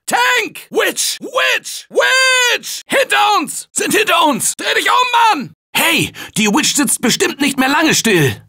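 A male actor playing a confident, energetic survivor in a German video game dub, shouting warnings and calling directions. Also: very high voice, heavily acted. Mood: urgent. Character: upbeat male office worker survivor, confident. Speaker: game character.

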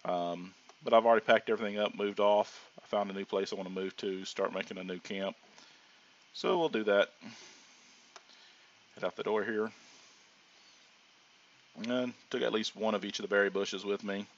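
Hoarse low-voiced man narrating gameplay. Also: moderate pace, long pauses. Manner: relaxed, rambling with frequent ums and restarts. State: hoarse from sinus trouble. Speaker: gaming channel host. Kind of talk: casual gameplay commentary. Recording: dull lo-fi audio.

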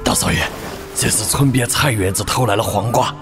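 An actor playing a humble, good-natured old rustic servant in an audio drama, mild and deferential. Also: male voice, lowish voice, brisk, gravelly, music in the background. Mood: meek, helpful. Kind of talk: Chinese audio drama acting.

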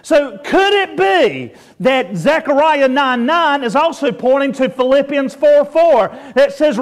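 A male pastor preaching a sermon in a church, stretching out emphatic words and asking the congregation for amens. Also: extremely high pitch. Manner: preaching with drawn-out, emphatic calls to rejoice.

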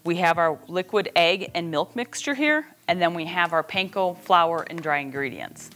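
A woman's voice: lowish voice, animated, moderate pace, fairly bright tone. A middle-aged woman hosting a casual cooking demonstration in a plainspoken, folksy way, recorded in a home kitchen. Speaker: cooking host.

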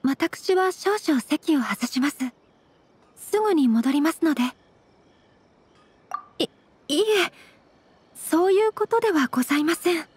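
A woman playing a young noble lady in an anime game, elegant and gentle, with formal, courteous speech. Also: sits high, lively intonation, a little slow, pauses often. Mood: courteous, composed.

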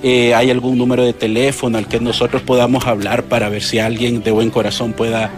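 A man's voice: mid-range voice, flat delivery, a little fast. Honduran man reporting live, music behind. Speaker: field news reporter. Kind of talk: live TV news report with halting, improvised delivery. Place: on location at a house. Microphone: handheld reporter microphone.

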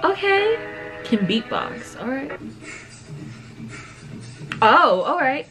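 A woman's voice: sits high, sing-song, extremely slow, fairly bright tone. An eighteen-year-old woman reacting casually to videos at home, laughing often and talking to viewers as y'all. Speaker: reaction vlogger. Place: indoor room at home. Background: music from the video she is reacting to.

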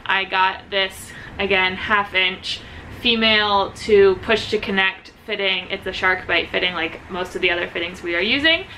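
A woman's voice: mid-range voice, moderate pace, sharp tone, a little hiss or hum, rough recording. A young woman walking through plumbing steps on a bus conversion, practical and step by step, with the odd "um". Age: young adult. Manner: practical, step-by-step, with frequent ums. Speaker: vlogger building out a bus. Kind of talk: DIY how-to explanation. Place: inside a bus under conversion.

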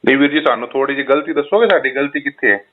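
Fast-talking, pleading Punjabi man on the phone. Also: mid-range voice. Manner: deferential, pleading, insistent. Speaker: travel agent. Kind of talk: phone call, arguing his side. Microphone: phone call. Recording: muffled recorded phone call.

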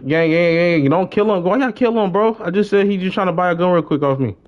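A young man giving casual live gaming commentary, slangy, repeating short phrases excitedly. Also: sits high, quick pace, muffled recording. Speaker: game streamer.

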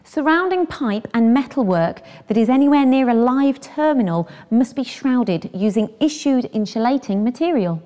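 A woman's voice: a little high, lively intonation, normal speed, hardly any pauses, mellow. A British woman in her thirties presenting scripted instructions for a training film.

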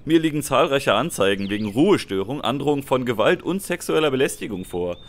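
Guy doing a brash cop inspector, menacing and officious, very lively pitch, radio play spoof. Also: a little fast, lightly acted. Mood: threatening. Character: brash male police inspector, threatening. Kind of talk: audio drama parody acting.

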